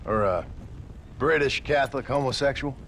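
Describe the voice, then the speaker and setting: An actor playing a wry, weary gunslinger in a western video game, speaking with dry, sardonic politeness. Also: male voice, mid-range voice, normal speed, a bit bright, slight background noise, lightly acted. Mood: questioning, dry. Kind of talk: video game dialogue acting.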